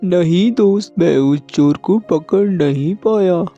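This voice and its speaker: A man voicing a helpful, determined male donkey in a cartoon moral story, speaking earnestly and deliberately. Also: high-pitched, dark tone, exaggerated voice. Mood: reassuring. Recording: dull lo-fi audio with music.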